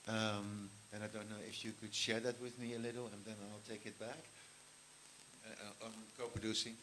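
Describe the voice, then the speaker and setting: A man, a film commissioner, speaking on a panel in a halting way with many pauses and restarts. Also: fairly low pitch, flat delivery, a little hiss or hum, low-quality recording. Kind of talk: panel talk.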